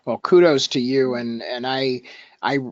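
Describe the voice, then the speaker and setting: A man hosting a casual interview, talking in a halting, rambling, personal way. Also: medium pitch, dry recording, highs cut off. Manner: halting, rambling, self-disclosing. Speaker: host interviewing a guest.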